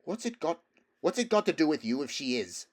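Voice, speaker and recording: A man's voice: on the high side, big pitch swings, a little fast, voice pushed up. A man voicing a fierce, haughty young noble girl in a storybook, snappish and scornful. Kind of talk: storybook character voice.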